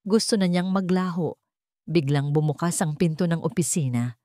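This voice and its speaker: A woman's voice: lowish voice, moderate pace, dark tone, dry recording, studio quality. A woman with a Filipino accent reading a scripted story in a dramatic storytelling style.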